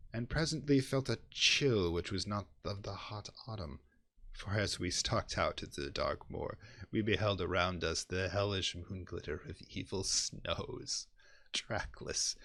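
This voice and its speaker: A man giving a dramatic, theatrical horror reading in an intense, brooding manner, swelling from hushed passages to loud ones. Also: lowish voice. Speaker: reader of a horror story.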